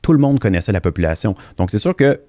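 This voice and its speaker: A very deep-voiced man explains his industry as a podcast guest. He talks earnestly, often stumbling and repeating words. The recording is dry and close. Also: very animated, quick pace, warm tone, no echo. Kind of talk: interview answers.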